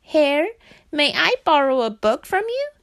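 A woman's voice: high-pitched, sing-song, unhurried, a bit bright, no echo, studio quality, voice pushed up, full character voice. A woman voicing a small, eager male storybook frog who is easily downcast, in a plaintive, childlike manner. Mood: polite, hopeful.

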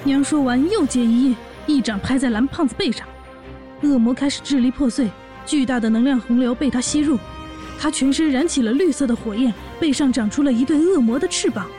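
A woman voicing an excitable young orc wife and daughter, narrating and chatting in a fan audio drama. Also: sits high, fairly quick, soft background music, lightly acted. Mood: awed.

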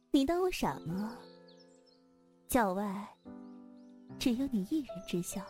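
A woman's voice: on the high side, big pitch swings, takes it slowly, warm tone, soft background music. Actress as an imperious, lilting female sect leader. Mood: proud, fond. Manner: haughty, blunt, commanding. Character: imperious, teasing female martial sect leader, proud, secretly tender. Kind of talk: Chinese wuxia audio drama acting.

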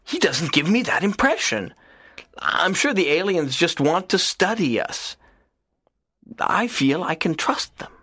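An actor playing a lonely, earnest teenage schoolboy in an audiobook, talking in a soft, low, pleading way. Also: male voice, big pitch swings, unhurried, breathy edge, dry recording, clean studio sound, exaggerated voice. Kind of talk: audiobook character reading.

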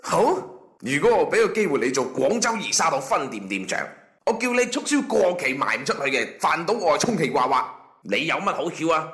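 Man acting animated, stern Cantonese job interviewer. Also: a little high, fairly quick. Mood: challenging. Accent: Guangzhou Cantonese. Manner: formal, lecturing. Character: stern, scornful male company job interviewer. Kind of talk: comic internet radio drama acting. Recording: echoey room.

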